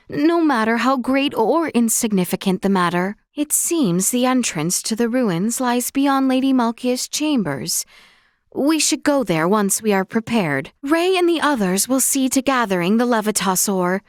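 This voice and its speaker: A woman voicing an earnest, dutiful young royal heroine in a video game, speaking in formal, archaic, measured phrases. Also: on the high side, no echo, studio quality. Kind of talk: video game character voice acting.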